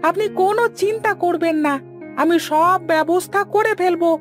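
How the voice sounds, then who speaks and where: A woman's voice: very high voice, moderate pace. A woman voicing a chatty, eager village shopkeeper and matchmaker in a cartoon, with a teasing, obliging manner. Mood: cheerful, reassuring. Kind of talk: animated cartoon dialogue. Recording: loud background music.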